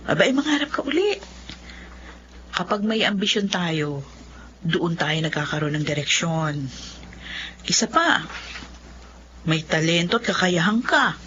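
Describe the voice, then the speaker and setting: A woman's voice: mid-range voice, fairly bright tone, close to an everyday voice. Woman acting encouraging lawyer, muffled recording. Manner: measured, advising. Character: kindly, encouraging lawyer benefactor. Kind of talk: Filipino radio drama acting. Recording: muffled, noisy radio drama.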